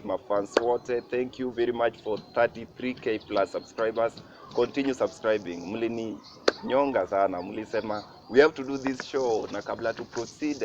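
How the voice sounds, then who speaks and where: A man with a Kenyan accent hosting a casual interview, chatty and switching between English and Swahili slang. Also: mid-range voice. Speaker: show host. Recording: muffled with clear background noise.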